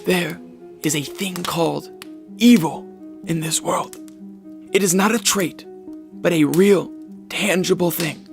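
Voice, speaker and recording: An actor playing an eccentric, grave scientist in a radio drama, lecturing in a theatrical, deliberate way. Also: male voice, sits high, creaky, heavily acted. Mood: grave, ominous. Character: eccentric male scientist doctor, grave, fervent, scattered. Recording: dramatic music behind the voice.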